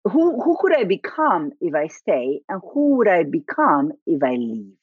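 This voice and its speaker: A woman in her forties with a thick Argentine Spanish accent, Spanish being her first language. Her voice sits a little low, and she tells her story reflectively, with frequent laughter and false starts. The recording is dry and slightly dull. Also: big pitch swings, a little slow, husky, no room sound. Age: 40s. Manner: reflective, laughs often, many restarts. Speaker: guest. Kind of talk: casual interview storytelling.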